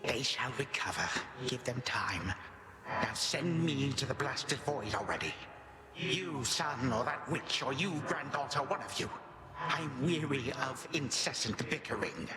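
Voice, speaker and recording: A man's voice: mid-range voice, animated, unhurried, over music, natural voice. Echoing, weary old titan played by a man. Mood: weary, bitter. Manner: solemn, archaic, formal phrasing. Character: weary, defeated old titan grandfather, bitterly proud. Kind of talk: dramatic video game voice acting. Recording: echoey hall reverb, noisy background.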